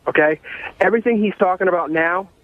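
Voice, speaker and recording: A man's voice: high-pitched, fast talker, no echo. A man calling in to a radio show on a dull phone line, blunt, earnest and deadly serious. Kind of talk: live call-in.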